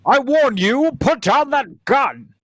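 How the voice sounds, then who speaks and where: A defiant young hero, played by a man in a science fiction radio drama. The voice sits high and delivers its lines deliberately, with bold, theatrical scorn. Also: very animated, a bit bright, dry recording, heavily acted. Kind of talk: sci-fi radio play acting.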